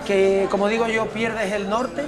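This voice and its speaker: Man, fifties, high voice, unhurried, reflective, restaurant chatter behind. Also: level tone. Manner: unhurried, reflective, repeats words as he searches. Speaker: interview guest, former footballer. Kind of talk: relaxed conversation over a meal. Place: restaurant by large windows.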